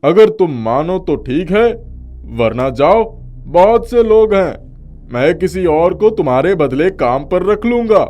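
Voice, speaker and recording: A man's voice: high-pitched, big pitch swings, slow, a little mellow, exaggerated voice. A man voicing a cunning, selfish factory owner in a moral story, brusque and demanding in manner. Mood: scheming, demanding. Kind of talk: acted dialogue in a Hindi moral story.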